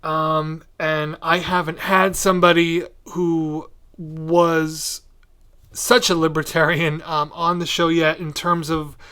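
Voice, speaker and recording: Podcast host guy, smooth clean voice a bit high, polite rambly questions. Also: level tone, slow pace, pushed and bright. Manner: tentative, polite, roundabout questioning. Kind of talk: casual interview.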